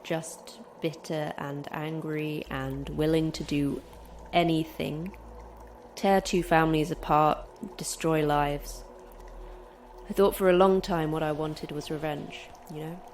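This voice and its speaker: A low, level-pitched defiant fighter. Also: female voice, steady tone, slow, a little hiss or hum. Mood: weary, reflective. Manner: terse, dry, defiant. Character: injured, concussed, stubborn female fighter trapped by a monster. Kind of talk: audio drama acting.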